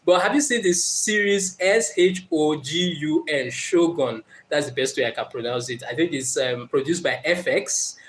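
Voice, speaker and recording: A man with a Nigerian accent hosting a motivational live stream. He speaks earnestly and encouragingly, greets commenters, and uses many fillers. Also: a little high.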